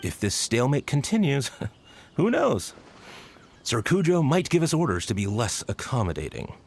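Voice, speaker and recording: A man voicing an uneasy soldier in an anime game, with a husky voice. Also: mid-range voice, very animated, moderate pace, slight background noise. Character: husky male soldier, uneasy about a stalemate. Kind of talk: anime game voice acting, English dub.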